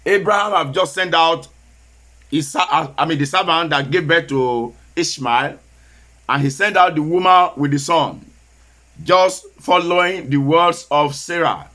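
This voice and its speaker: A man preaching a sermon with a Nigerian Yoruba accent, whose first language is Yoruba, slowly repeating key phrases for emphasis and switching into Yoruba. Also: a little high, bright, forceful tone.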